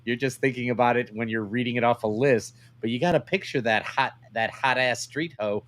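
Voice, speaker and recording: A man's voice: mid-range voice, fairly quick, a bit bright. A man co-hosting a podcast in casual, bantering chat, crude and joking, often questioning his partner. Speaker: podcast co-host.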